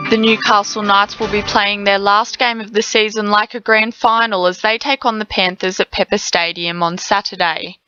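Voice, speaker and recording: A woman's voice: medium pitch, sharp tone, clear, resonant tone, no room sound, lo-fi sound. An Australian woman reading a scripted radio sports bulletin. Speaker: sports news reader. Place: radio studio.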